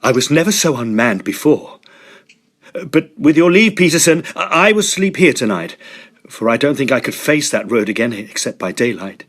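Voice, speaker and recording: Man acting young Victorian student, swooping expressive pitch, fearful, earnest, formal period speech, audio drama. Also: moderate pace. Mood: frightened, breathless urgency.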